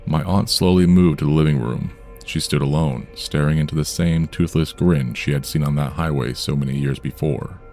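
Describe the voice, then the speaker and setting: A man reading true scary stories aloud in a measured, somber storytelling delivery. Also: extremely low pitch, dark tone, soft background music. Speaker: horror story narrator. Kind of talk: scripted true-crime horror narration.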